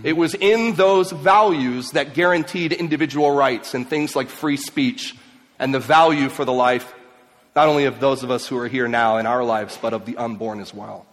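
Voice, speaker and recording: A man raised in Mexico and Ecuador, giving an emphatic speech to an audience, swinging between loud and quiet. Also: medium pitch, normal speed. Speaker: speaker. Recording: long hall reverb.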